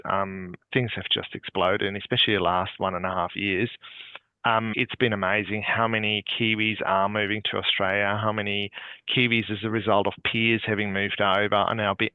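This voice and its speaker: A man's voice: on the low side, no echo. A man who grew up in Singapore, an accountant presenting a webinar in a friendly, informal way over a video call. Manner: friendly, informal, encourages questions. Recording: tinny phone-like video call audio.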